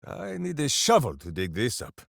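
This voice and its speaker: An actor playing a big-hearted, simple-minded berserker warrior in a video game, grandiose and earnest. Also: male voice, medium pitch, huge pitch swings, normal speed, vocal fry, a bit bright, no echo, studio quality, full character voice. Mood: hearty, earnest. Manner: grandiose, speaks of himself in third person. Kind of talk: fantasy video game voice acting.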